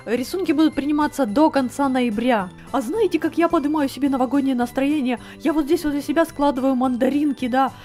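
A woman hosting a fan channel in a playful, chatty way, teasing and switching voices quickly. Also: high-pitched, mellow, soft background music. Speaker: channel host.

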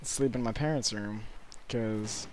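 An eighteen-year-old man with a hoarse, sore-throated voice, rambling through casual late-night gameplay commentary from his bedroom with lots of fillers. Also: lowish voice, slight background noise. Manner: rambling, halting with many fillers. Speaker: gaming commentator.

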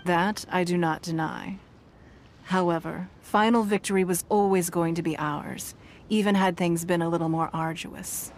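A woman's voice: fairly low pitch, fairly even intonation, moderate pace, a little hiss or hum, natural voice. A woman playing a composed, confident noble ruler in a video game, speaking formally and with authority. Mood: firm, confident. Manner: poised, formal, commanding. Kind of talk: scripted video game voice acting.

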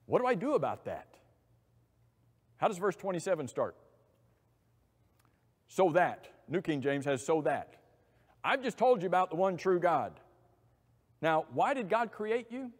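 A middle-aged man preaching a sermon from a church pulpit, animated and asking many rhetorical questions. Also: sits high. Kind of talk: expository sermon. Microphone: lapel mic. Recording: long hall reverb.

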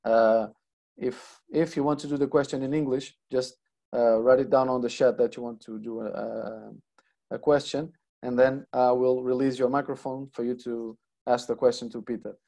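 A man's voice: medium pitch, steady tone, normal speed, creaky, mellow. A man in his thirties with a Portuguese accent, hosting and interpreting an online seminar over earphones on a video call, hesitant with many fillers and restarts. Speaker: webinar host and interpreter. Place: plain room indoors. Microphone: earphone headset on video call.

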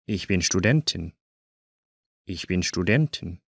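A man teaching a language course, saying each short phrase twice in slow, careful drills. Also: deep voice, animated, gravelly, breathy edge, no echo, clean studio sound.

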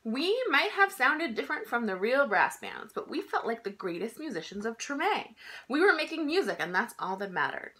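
A woman in her thirties reading a children's picture book aloud in an expressive, emphatic storytelling style. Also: a little high, moderate pace, a little hiss or hum. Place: small indoor room at home.

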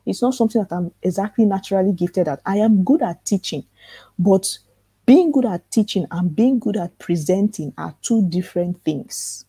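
A soft-spoken woman with a calm voice teaching a live online workshop for authors, earnest and repetitive, asking rhetorical questions. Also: medium pitch, moderate pace, husky, no echo. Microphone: computer mic in a live webinar.

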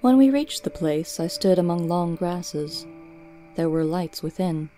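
A woman's voice: fairly low pitch, lively intonation, measured pace, dark tone, soft background music. A woman narrator giving a storybook reading of fiction.

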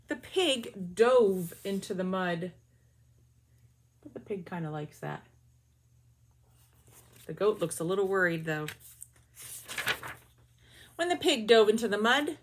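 A woman in her forties, a teacher, reading picture books aloud to children in a lively, drawn-out storybook way with soft asides, recorded on a webcam in a small bedroom. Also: medium pitch, a little hiss or hum. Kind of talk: children's read-aloud with comments.

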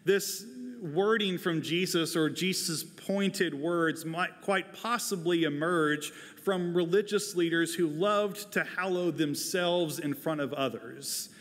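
A middle-aged man preaches from a pulpit in a slightly high voice. His phrasing is earnest and deliberate, with pauses between thoughts. The sound carries the long reverb of a large sanctuary. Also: fairly bright tone. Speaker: pastor. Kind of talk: sermon, prepared and measured delivery. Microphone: lapel mic.

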